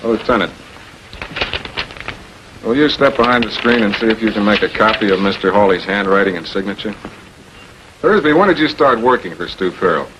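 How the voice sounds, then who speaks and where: An American actor playing a shrewd public prosecutor in 1940s television crime drama style, questioning in a deliberate, authoritative way. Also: male voice, lowish voice, lively intonation, highs cut off, close to an everyday voice. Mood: measured, in control. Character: shrewd, composed male public prosecutor confronting murder suspects. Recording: noisy 1940s film soundtrack.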